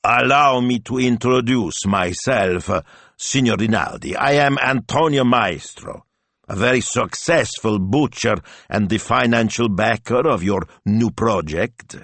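Male reader doing a fawning, pompous butcher; slow, higher than his own deep voice. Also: a bit bright, no room sound. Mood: wheedling. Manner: slow, pleading, ingratiating. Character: pompous wheedling middle-aged male butcher.

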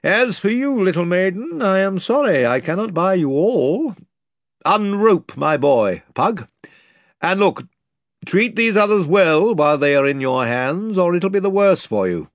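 A man's voice: sits high, very animated, measured pace, dry recording, voice pushed up, heavily acted. A man voicing a stern, grim nobleman who speaks in clipped commands.

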